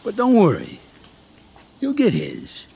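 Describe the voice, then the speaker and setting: An actor playing a shrewd, fatherly older American mafia consigliere with a gravelly, streetwise delivery in a video game. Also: high-pitched, wildly swooping pitch, slow pace, dark tone, full character voice. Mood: scornful, assured. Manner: streetwise, coarse, patiently explaining. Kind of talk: game cutscene acting.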